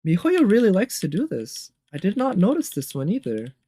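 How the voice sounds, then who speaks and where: A man's voice: sits high, huge pitch swings, moderate pace, mellow, no room sound. A man giving casual, off-the-cuff live commentary as a streamer reacting to game trailers, musing as he goes. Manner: off-the-cuff musing, sometimes humming tunes. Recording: music playing behind the voice. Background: game trailer music with guitar.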